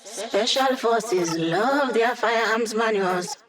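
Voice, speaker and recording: An actress voicing a witty young female hacker in a video game, delivering sly, teasing quips to her team. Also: a little high. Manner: wry, teasing, quick quips. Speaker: hacker support character.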